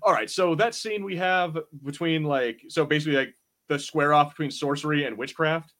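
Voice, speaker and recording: A man's voice: on the high side, moderate pace. Man bantering casually as podcast co-host. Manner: animated slangy storytelling with acted-out quotes.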